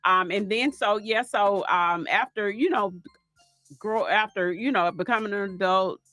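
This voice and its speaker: A woman's voice: medium pitch, a little slow, bright, forceful tone, no room sound, soft background music. A middle-aged woman from Alabama, telling homey stories as an interview guest. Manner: homey, laughing storyteller. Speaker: author and guest. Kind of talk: casual interview storytelling.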